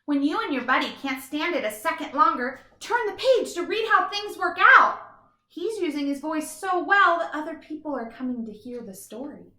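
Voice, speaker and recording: A woman doing an expressive children's read-aloud as a teacher, with emphatic, instructive delivery. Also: high-pitched, normal speed, reverberant. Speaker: teacher reading a picture book aloud.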